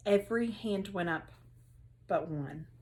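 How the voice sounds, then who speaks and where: A woman teacher reading a children's story aloud, expressive and with dramatic emphasis. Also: medium pitch, unhurried.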